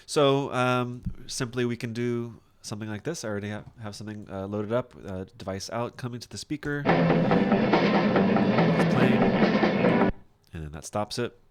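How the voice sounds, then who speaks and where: Man, lowish pitch, software instructor, informal, thinking aloud, casual screen-share walkthrough. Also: a little slow, over music.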